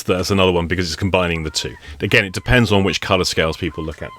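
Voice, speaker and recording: A man giving relaxed spoken instruction on a meditation practice, explaining it step by step in a wry, conversational way. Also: lowish voice, dry recording. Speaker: meditation teacher.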